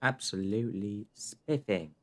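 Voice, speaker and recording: A man's voice: on the low side, unhurried, a bit bright. A man giving casual live commentary on a game, in short, offhand, playful interjections. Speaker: game streamer.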